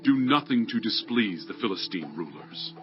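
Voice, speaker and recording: A man's voice: fairly low pitch, sing-song, moderate pace. An actor playing an earnest, diplomatic ancient ruler speaking up for his servant in a scripture drama. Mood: regretful, firm. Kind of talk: dramatized scripture audio acting. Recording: dull lo-fi audio, faint music.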